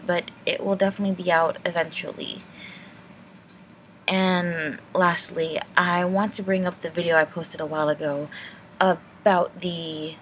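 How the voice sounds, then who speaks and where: A woman's voice: on the low side, a bit bright, slight background noise. A young woman giving a casual, rambling channel update in a hesitant, apologetic, nervous way. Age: young adult.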